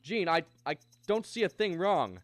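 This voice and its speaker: A man's voice: high voice, big pitch swings, normal speed. An actor playing an earnest husband and father who narrates his alien encounter in a 1950s radio drama style. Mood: urgent, frightened. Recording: old radio drama with music behind.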